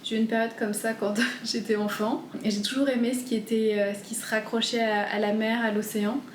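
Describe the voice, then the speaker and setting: A woman in her thirties chatting casually at home as she answers viewer questions, gentle and hesitant. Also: fairly high pitch, flat delivery, brisk, roomy sound, slight background noise. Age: 30s. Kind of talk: casual FAQ chat.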